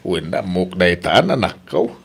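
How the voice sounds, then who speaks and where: Animated, genial family father acted by a man. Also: low-pitched, husky, heavily acted. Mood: amused. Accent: Ilocano. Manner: animated, interjecting. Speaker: radio drama actor. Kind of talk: Ilocano radio comedy-drama acting.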